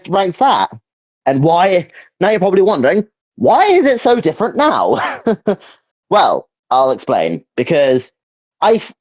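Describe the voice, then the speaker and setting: A man's voice: on the high side, a little mellow. A young man chatting casually as he hosts a video game ranking, hesitant and repeating himself as he searches for words. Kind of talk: casual opinion chat about video games. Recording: dull, lo-fi mic.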